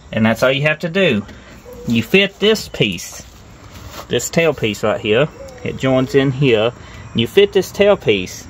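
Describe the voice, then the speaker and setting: Middle-aged man, medium pitch, plain folksy talker doing a casual how-to vlog outdoors, faint background noise. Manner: plain-spoken, folksy nonstandard grammar. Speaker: car restoration vlogger. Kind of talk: casual hands-on walkthrough. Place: outdoors in a grassy gravel yard. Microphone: handheld phone at arm's length.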